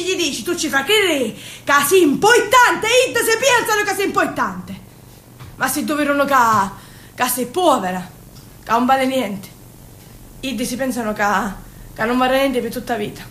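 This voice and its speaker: A woman's voice: animated, normal speed, a bit bright. An actress playing a brash, dreamy young Sicilian woman, poor and yearning, in an emotional theatrical monologue that swings between confiding and exclaiming. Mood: excited, dreaming, then bitter, defiant. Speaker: stage actress. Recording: roomy sound, faint low rumble.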